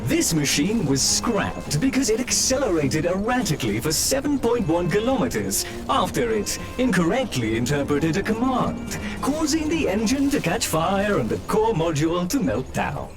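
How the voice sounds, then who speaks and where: A man's voice: high-pitched, sing-song, moderate pace. A man voicing a small, polite automated taxi robot in a video game, giving formal scripted announcements. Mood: polite, informative. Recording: game music behind the voice.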